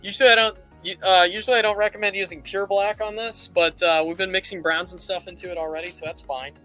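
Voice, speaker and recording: A man's voice: high voice, steady tone, quick pace, sharp tone, soft background music. A man hosting a casual live painting tutorial, thinking aloud and rambling as he works. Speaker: livestream host teaching miniature painting.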